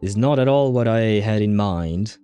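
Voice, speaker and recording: Mellow-voiced man casually streaming a video game. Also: on the low side, lively intonation, normal speed, faint music behind. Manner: muttering to himself, swearing. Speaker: livestream host. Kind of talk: casual gameplay livestream commentary.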